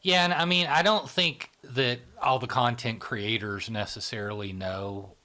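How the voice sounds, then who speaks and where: A man's voice: medium pitch, fairly even intonation, a little slow, pushed and bright. A man hosting a podcast, explaining ideas in a loose, rambling way with many fillers and tag questions. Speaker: podcast host. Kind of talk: casual explanatory chat.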